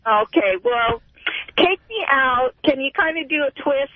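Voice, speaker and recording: A woman's voice: high-pitched, very animated, a little slow, creaky, dry recording. A woman calling in to a radio show, chatting casually and flirtatiously over the phone. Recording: tinny phone line on radio.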